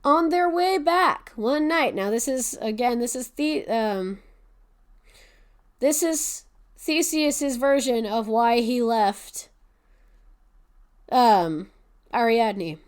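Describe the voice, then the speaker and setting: A woman's voice: on the high side, slow pace, pauses often, clean tone. A woman hosting a podcast, casually retelling a Greek myth with profanity and sarcastic asides. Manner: swears freely, laughs, adds sarcastic asides. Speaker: podcast host.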